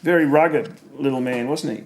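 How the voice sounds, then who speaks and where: A man's voice: mid-range voice, normal speed, slight background noise. A middle-aged Australian man casually reminiscing about family, rambling with fillers and leading questions. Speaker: family member recording memories.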